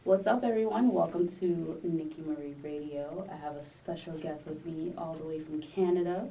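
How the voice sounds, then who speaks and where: Low-voiced woman host, a bit off-mic. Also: normal speed, creaky, warm tone, recorded from a distance, a little hiss or hum, poor audio. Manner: chatty, laughs easily. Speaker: podcast host. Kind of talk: casual interview chat.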